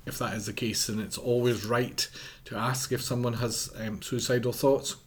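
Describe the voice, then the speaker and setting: A man's voice: mid-range voice, fairly even intonation, moderate pace, slightly rough. A man, speaking earnestly as a guest on a podcast and often restarting his sentences while he gives advice. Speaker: mental health charity policy director.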